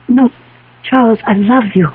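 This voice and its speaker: An actress playing a determined, scheming fiancée in 1970s radio drama, insistent and deliberate. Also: female voice, a little high, breathy edge. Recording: muffled old radio broadcast.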